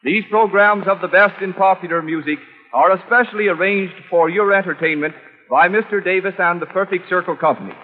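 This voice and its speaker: American guy reading old timey radio ad, formal n stiff, high voice, tinny sound. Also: breathy edge. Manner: stiff, ceremonial, measured reading. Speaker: radio announcer. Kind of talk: formal 1920s radio sponsor announcement. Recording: echoey, tinny early radio recording.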